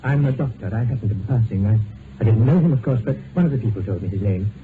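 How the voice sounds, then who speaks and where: Actor as grave, hesitant doctor on noisy old radio. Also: male voice, fairly low pitch, animated, phone-quality sound, lightly acted. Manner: formal, halting, apologetic. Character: grave, hesitant male doctor bearing bad news. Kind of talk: old-time radio drama acting.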